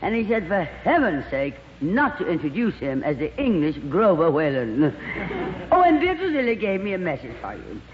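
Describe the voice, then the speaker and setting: A gushing glamorous actress. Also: female voice, fairly low pitch, wildly swooping pitch, normal speed. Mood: gossipy. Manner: theatrical, drawls endearments like darling. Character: gushing glamorous worldly actress, affected and gossipy. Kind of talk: 1940s radio comedy acting. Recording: old radio broadcast, reverberant, dull, faint hiss.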